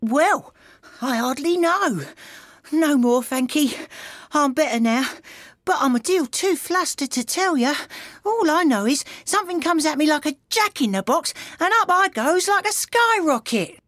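Small male lizard, high squeaky voice, feeble, flustered, meek, rustic phrasing, storybook. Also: animated, measured pace, a bit bright, dry recording, clean studio sound. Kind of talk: storybook character voice.

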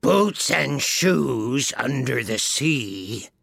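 A bossy mythical beast from a storybook, impatient and curt. The voice is raspy and creaky, drags slowly and lurches up and down in pitch. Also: very animated, fairly bright tone, dry recording, studio quality, heavily acted. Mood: brusque.

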